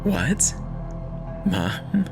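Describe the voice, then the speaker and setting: A man playing a grieving adult son in a horror story, shaken and halting. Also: high voice, sing-song, over music, pitched above the performer's own voice, exaggerated voice. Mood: confused, frightened. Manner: shaky, halting.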